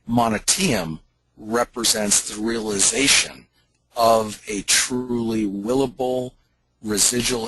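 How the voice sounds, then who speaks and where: Male company leader, slightly deep with a rough husky edge, selling hard and emphatically to a call audience. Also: fairly bright tone, no echo, rough recording. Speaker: company leader pitching network marketing. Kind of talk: persuasive sales pitch on a conference call.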